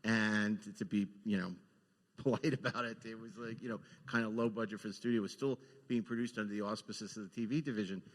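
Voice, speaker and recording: A man with a fairly low voice hosts a live panel, setting up questions for guests. His voice carries through a large reverberant hall. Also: fairly even intonation, a little fast. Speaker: host, panel moderator.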